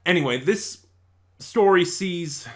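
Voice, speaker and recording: A man's voice: high voice, takes it slowly. A man reviewing in a casual, unscripted way, rambling and often losing his thread and correcting himself. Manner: rambling, scatterbrained, self-correcting.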